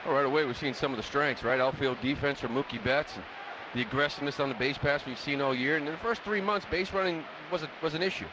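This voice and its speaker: A quick-talking man with a slightly high voice gives color commentary on a baseball game. He is conversational and opinionated, and the live ballpark broadcast is clearly noisy behind him. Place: stadium broadcast booth.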